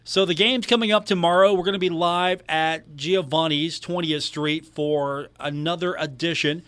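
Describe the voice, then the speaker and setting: A man's voice: a little high, fairly bright tone, no echo. An American man talking as a sports radio host, delivering commentary and announcements into a close studio microphone. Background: music.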